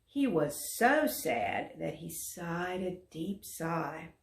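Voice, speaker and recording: Unhurried, expressive woman reading a storybook. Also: fairly low pitch, vocal fry. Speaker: volunteer story reader. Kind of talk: children's read-aloud narration. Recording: roomy echo.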